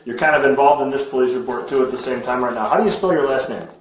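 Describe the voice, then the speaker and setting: A male American police officer in a very reverberant recording. His voice sits at an average pitch. He asks questions and explains plainly, circling back to restate his points. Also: rapid-fire, background noise. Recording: very echoey body camera audio.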